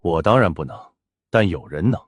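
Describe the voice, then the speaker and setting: An actor playing a manipulative rich man in his late fifties, suave, patronizing and persuasive, in a scripted animated drama. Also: deep voice, a little fast, slightly rough, dry recording, studio quality. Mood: smug. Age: 50s. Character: smooth, manipulative rich man near sixty, persuasive villain.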